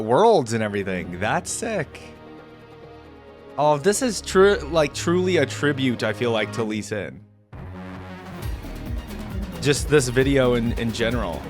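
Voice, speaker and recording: A man's voice: medium pitch, animated, a little slow, soft background music. A man hosting a reaction video with casual commentary, speaking informally and enthusiastically with frequent asides. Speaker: YouTube reaction host.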